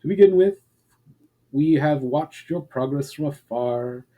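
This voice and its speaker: A man voicing an ancient male elf border guard in a storybook reading, courteous, wistful and weary, with formal, measured speech. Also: a little high, mellow, voice pushed up, close to an everyday voice, poor audio. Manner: formal, measured, archaic phrasing.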